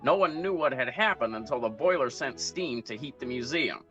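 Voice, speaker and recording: A man's voice: medium pitch, animated, normal speed, a bit bright, lo-fi sound, faint music behind, natural voice. A man playing a hard-boiled 1940s private detective, narrating his case in a matter-of-fact, clipped radio noir style.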